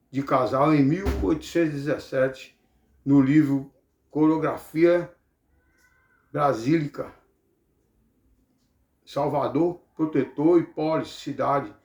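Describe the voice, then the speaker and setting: A man's voice: a little high, creaky, a little mellow, reverberant, muffled recording. A man from Bahia with a Bahian Brazilian accent, teaching the Bible like a preacher, in a didactic way with rhetorical check-ins.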